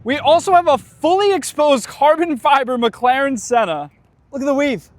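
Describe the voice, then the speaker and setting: High-voiced excitable car vlogger. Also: male voice, moderate pace, no room sound. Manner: enthusiastic, exclaiming over cars. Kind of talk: excited car-spotting vlog.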